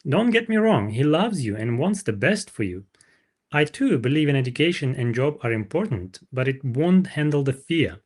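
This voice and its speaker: Wise older male mentor, read by a man, storybook dialogue, medium pitch, didactic, frank, emphatic. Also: very animated, normal speed. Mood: frank, reassuring.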